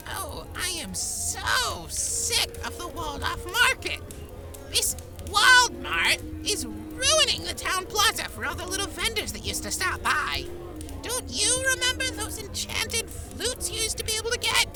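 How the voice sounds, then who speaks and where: Very high, excitable market vendor over music. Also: female voice, a little slow, exaggerated voice. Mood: exasperated. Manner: dramatic, exclaiming. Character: exasperated, excitable female market vendor of enchanted scarves. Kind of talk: scripted comic fantasy ad sketch. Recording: music behind the voice.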